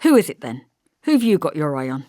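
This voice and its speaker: Woman acting a blunt, bossy young brother, animated. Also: low voice, fairly quick, dry recording, studio quality. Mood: probing. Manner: brusque, insistent, colloquial regional turns of phrase. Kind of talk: audiobook character dialogue.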